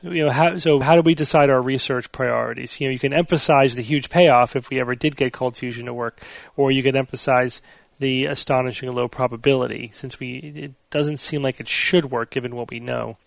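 An American man hosting a panel podcast, moderating casually and explaining things in a measured way. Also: mid-range voice, steady tone, vocal fry, dry recording.

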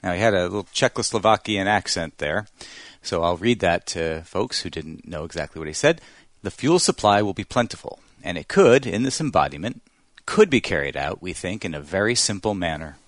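A man with a low voice presents a segment on a podcast. He talks conversationally and a little wordily, with a playful announcer streak. The sound is dry and close. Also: expressive, a little fast, dry recording.

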